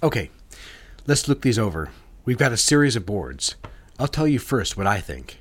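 Casting: male actor for husky, animated 1960s advertising boss, intense, persuasive, romantic audio drama lead. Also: fairly low pitch, moderate pace. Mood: businesslike, instructive. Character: married 1960s ad executive, smooth, intense, commanding boss.

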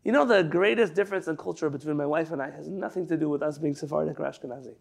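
High, gravelly rabbi teaching online. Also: male voice, racing. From San Diego, California. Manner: digressive, chatty, quotes sources then paraphrases. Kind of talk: conversational Torah class. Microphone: video call.

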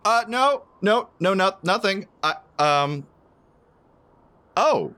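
An actor playing a shy, smitten diner customer in a romance audio drama, speaking in a hesitant, bashful way. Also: male voice, high-pitched, expressive, takes it slowly, sharp tone, full character voice. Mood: flustered.

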